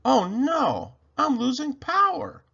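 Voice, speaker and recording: Man putting on a high-pitched, fretful little Christmas elf. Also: wildly swooping pitch, slow, creaky, pushed and bright, slightly rough, lo-fi sound, exaggerated voice. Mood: panicked. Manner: exclaiming, fretful. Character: small anxious plucky male Christmas elf, easily alarmed.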